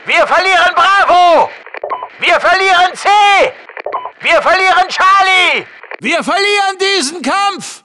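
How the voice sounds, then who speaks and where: A man's voice: extremely high pitch, background noise. A male actor playing a commanding masked special forces soldier, giving clipped military reports and orders through a radio filter for a shooter game. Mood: urgent, shouting. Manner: clipped short barked orders and status reports.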